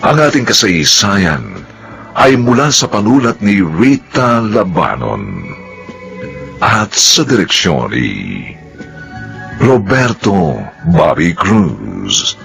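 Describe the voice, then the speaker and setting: Deep, gravelly male radio announcer. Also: huge pitch swings, fairly bright tone, faint music behind. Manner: dramatic, measured delivery. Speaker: radio drama host and announcer. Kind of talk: formal radio announcing, reading credits.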